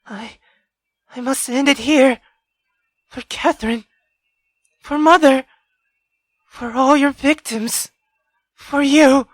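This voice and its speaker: A woman's voice: high voice, slow pace, pauses often, no echo, pitched above the performer's own voice. A woman voicing an anguished, guilt-ridden but resolute young woman in a visual novel, faltering and pleading. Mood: anguished resolve.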